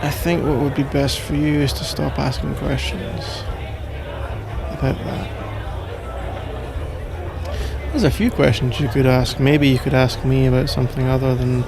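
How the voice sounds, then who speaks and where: A man with a British accent playing a suave, suspicious and powerful mafia crime lord in an intimate ASMR roleplay, measured, self-assured and teasingly condescending. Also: mid-range voice, flat delivery, creaky, over music, lightly acted. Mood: suspicious, warning. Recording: noisy bar-like crowd ambience behind.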